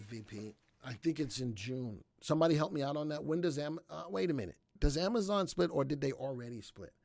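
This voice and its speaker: A man's voice: medium pitch, gravelly, dry recording. A man hosting a live market show, losing his voice, chatting in a folksy, rambling way and reading out viewer comments. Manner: folksy, rambling, thinks aloud. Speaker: market analysis show host.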